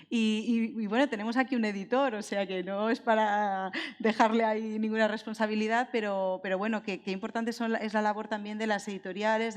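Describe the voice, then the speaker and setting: A woman with an accent from Spain speaking informally as an author on a live literary panel, thinking aloud and seeking agreement. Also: on the high side. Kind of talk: conversational live panel talk. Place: indoor public event hall. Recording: echoey hall, long reverb.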